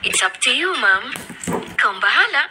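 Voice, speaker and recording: Firm young Filipina heroine, highish voice. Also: female voice, sing-song, moderate pace. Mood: respectful, doubtful. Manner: polite, firm, code-switching into English. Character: principled young woman, respectful but firm daughter figure. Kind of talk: radio drama acting. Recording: noisy radio drama recording.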